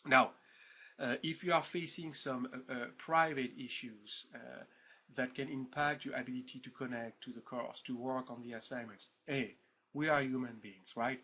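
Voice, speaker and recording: A man with a French accent, speaking at a medium pitch, talks students through the rules of his online course. He speaks in an informal, playful way, with lots of "uh", doubled words, and a "right?" after almost every point. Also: steady tone, moderate pace, bright, forceful tone, husky. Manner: chatty, informal, many fillers and repeated words; keeps asking "right?" for agreement. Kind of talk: recorded course introduction to students.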